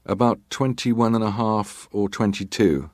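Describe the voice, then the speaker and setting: A man acting a middle-aged ship's officer in a dramatised reading of testimony, giving guarded, measured answers with clipped formal deference. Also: fairly low pitch, darkish tone, no room sound, studio quality, lightly acted. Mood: guarded, composed.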